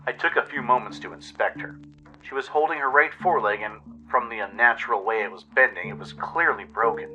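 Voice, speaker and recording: A man's voice: mid-range voice, a little fast, sharp tone, lo-fi sound, faint music behind. A man reading scripted first-person story narration for a radio play.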